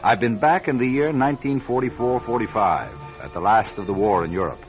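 A man's voice: mid-range voice, a little fast, close to an everyday voice. An actor playing a driven male network journalist who narrates his story in an earnest, urgent way, in a radio drama. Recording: muffled old radio broadcast with music.

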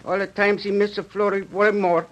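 An actor playing a polite, anxious Chinese ranch cook in a radio western, with a put-on Chinese accent and broken English. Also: male voice, high voice, level tone, a little fast, a little hiss or hum, close to an everyday voice. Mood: worried. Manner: broken English, short clipped phrases. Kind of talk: old-time radio western acting. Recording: dull, muffled old radio recording.

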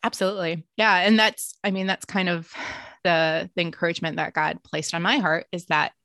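A woman in her thirties, a ministry leader and author, talking about her life in a reflective, earnest way with soft laughs. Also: fairly low pitch, steady tone, brisk, no room sound, clean studio sound. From born in Greece, raised in the United States and Romania. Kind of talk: casual interview conversation.

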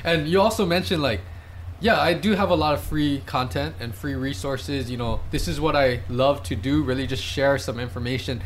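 A man who is a fitness and nutrition coach, explaining calmly and affirmingly in a casual conversation. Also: mid-range voice, normal speed, a little hiss or hum.

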